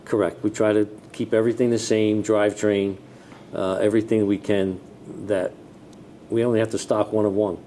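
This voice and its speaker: Low, mellow American man, plain-spoken. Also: normal speed. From New Jersey, United States. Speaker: fire chief being interviewed. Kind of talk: conversational interview answers. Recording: steady background noise with low rumble.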